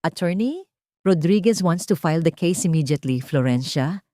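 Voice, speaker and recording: A woman voicing a calm, devoted male ally in a romance audio drama, composed and earnest. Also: on the low side, moderate pace, dry recording, lightly acted. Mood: calm, resolute.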